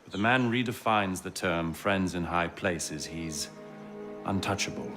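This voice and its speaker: Game officer: jaded, understated schemer, deep voice over a clear score. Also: male voice, unhurried, music in the background. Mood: dry, insinuating. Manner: dry, understated. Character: jaded, calculating male military officer.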